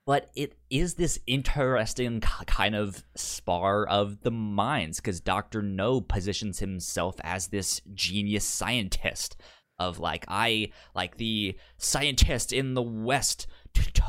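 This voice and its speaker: A man co-hosting a podcast in casual film discussion, halting and often repeating words as he paraphrases. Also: lowish voice, fairly bright tone. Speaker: podcast co-host.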